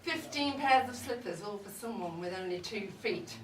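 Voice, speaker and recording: A woman's voice: mid-range voice, expressive, moderate pace, a bit bright, close to an everyday voice. A woman playing a cheeky, boozy older mother-in-law, gossiping in a wry, bawdy, confiding comic monologue. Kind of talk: comic dramatic monologue read to a live audience. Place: indoor live event at a pub. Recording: roomy, distant live recording with audience noise. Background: audience crowd.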